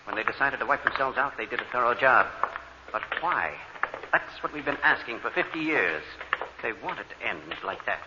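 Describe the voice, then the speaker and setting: A man's voice: medium pitch, expressive, moderate pace, in a large hall, natural voice. Man playing an earnest, scholarly space expedition scientist. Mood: musing. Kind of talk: 1950s science fiction radio acting. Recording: muffled, noisy old radio recording.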